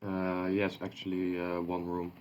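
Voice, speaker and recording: A man with a Dutch accent chatting as a guest on a live stream, speaking haltingly with many fillers and restarts. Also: sits low, monotone, a little mellow, lo-fi sound. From the Netherlands. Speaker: guest and new world creator. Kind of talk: casual live-stream chat.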